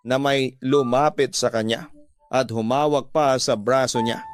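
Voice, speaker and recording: A Filipino man reading a dramatic first-person story in an earnest, deliberate way, phrase by phrase. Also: medium pitch. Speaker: radio drama storyteller reading a listener's letter. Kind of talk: dramatic scripted first-person narration, mixing Tagalog and English.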